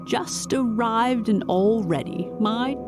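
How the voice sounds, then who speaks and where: Imperious demon lady, slow and menacing, pitch swoops around, loud music under it. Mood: mocking. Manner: formal, menacing, mockingly amused. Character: imperious female demon, taunting and unhurried. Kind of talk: audio drama acting.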